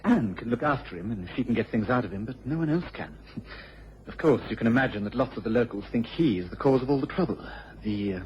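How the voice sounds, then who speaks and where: An actor playing an earnest, baffled English police investigator in a radio drama, speaking haltingly and apologetically. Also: male voice, mid-range voice, lively intonation, close to an everyday voice. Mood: earnest, hesitant. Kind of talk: British radio drama acting. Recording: dull old radio recording, clear noise.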